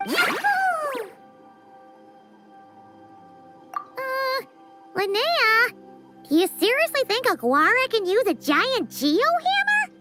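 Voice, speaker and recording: A woman voicing a small, childlike fairy companion who is bubbly, excitable and squeaky, in an anime-style video game. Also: takes it slowly, full character voice. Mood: excited, doubtful. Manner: exclamatory, bubbly, speaks of self in third person. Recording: music behind the voice. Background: loud game music.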